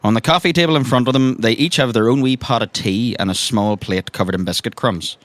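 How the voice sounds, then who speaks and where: A man's voice: mid-range voice, brisk, fairly bright tone, no room sound. A man narrating an improvised tabletop roleplaying game as game master.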